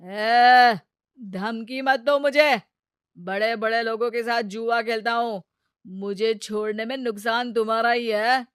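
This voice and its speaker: A woman's voice: fairly high pitch, fairly even intonation, slow, clear, resonant tone, dry recording. Woman putting on a cocky gambler husband, close and dry. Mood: defiant. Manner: boastful, wheedling. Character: cocky, irresponsible gambler husband, adult man.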